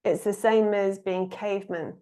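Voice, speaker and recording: A woman with an English accent, giving a reflective spiritual talk in a calm, musing way, pausing often. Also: mid-range voice. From England. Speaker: spiritual teacher.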